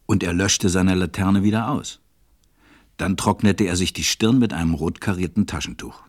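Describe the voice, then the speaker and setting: A man's voice: deep voice, moderate pace, no echo, studio quality, natural voice. A man narrating a storybook audio drama from a script.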